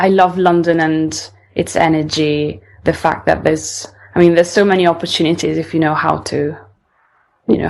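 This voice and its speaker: A woman's voice: low-pitched, gravelly, slight background noise, low-quality recording. A young woman from Italy, a singer-songwriter, speaking English with an Italian accent in a casual interview, thoughtful and often restarting her sentences.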